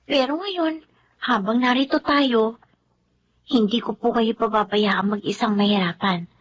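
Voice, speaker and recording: An actress playing a caring, respectful young student daughter in a Filipino radio drama, polite and earnest. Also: female voice, fairly high pitch, a little slow, lo-fi sound. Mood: reassuring.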